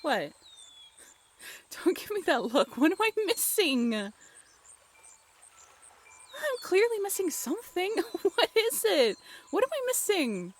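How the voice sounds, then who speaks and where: A woman voice actor playing a playful, teasing young woman in an intimate, conversational roleplay audio drama, expressive and a little halting. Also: extremely high pitch, heavily acted. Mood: flustered, confused.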